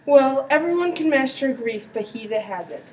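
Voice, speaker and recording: A teenage girl playing a grumpy, lovesick gentleman in an amateur Shakespeare performance. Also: high voice, lively intonation, normal speed. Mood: grumbling. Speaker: student performer. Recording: distant mic with background noise.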